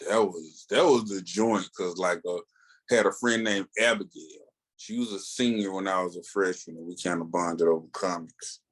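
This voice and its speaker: Low-voiced American man chatting enthusiastically. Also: normal speed, fairly bright tone. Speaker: comics podcast co-host. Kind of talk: casual fan chat.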